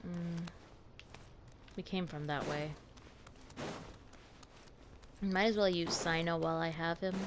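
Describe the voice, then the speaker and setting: Low-voiced woman chatting over a game. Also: fairly even intonation, moderate pace, background noise. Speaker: streamer reacting to a game. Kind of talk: casual gameplay commentary. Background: game music.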